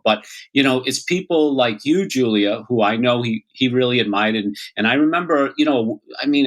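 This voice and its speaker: A man with a Boston accent speaks as a guest on a podcast. He talks warmly but haltingly, with many fillers, and his voice sits at a middle pitch. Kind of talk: conversational interview.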